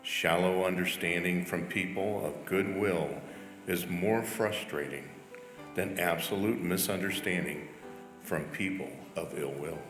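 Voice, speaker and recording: A man's voice: low-pitched, unhurried, fairly bright tone. A man reading out famous quotations as a church member. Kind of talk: recited quotations. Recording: echoey hall, faint music.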